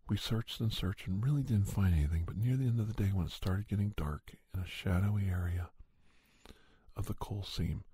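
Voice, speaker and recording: A man plays an earnest investigator of strange creatures, recounting his hunt as a soft ASMR story. The voice is lowish and very mellow, with a heavily breathy, husky grain. He speaks haltingly, pausing mid-sentence as he pieces the account together. It sounds close and dry. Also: no room sound. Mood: hushed, musing. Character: Doctor Andrew Michaels, earnest monster investigator recounting a hunt. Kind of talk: ASMR storytelling, told as first-person account.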